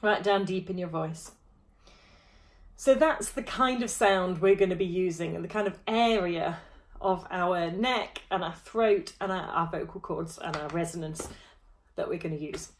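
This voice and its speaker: A woman, teaching a vocal warm-up to camera from a small room at home, friendly and demonstrative. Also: lowish voice, unhurried. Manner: friendly, demonstrative, touching throat and jaw while explaining. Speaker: choir vocal coach.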